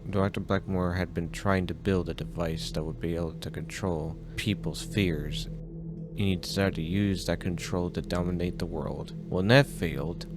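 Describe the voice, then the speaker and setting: A man acts a grave, formal storyteller in a horror drama. His low voice delivers scripted exposition emphatically over prominent music. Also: steady tone, moderate pace, close to an everyday voice. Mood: grave, ominous. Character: formal, grave male informant recounting a madman's crimes. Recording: music playing behind the voice.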